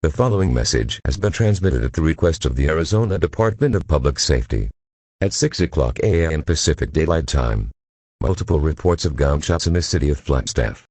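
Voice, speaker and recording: A man's voice: rumbling low, animated, brisk, a little mellow, breathy edge, dry recording. A man with a formal, stilted, synthetic-sounding delivery, reading a scripted emergency broadcast announcement.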